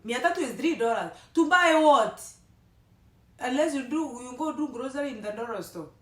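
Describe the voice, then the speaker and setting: A woman's voice: sits high, lively intonation, normal speed. Kenyan woman vlogging from across an echoey room. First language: Kimeru. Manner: chatty, talks straight to viewers, drops Swahili phrases. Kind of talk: casual storytelling vlog. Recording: echoey room from far off, loud background hum.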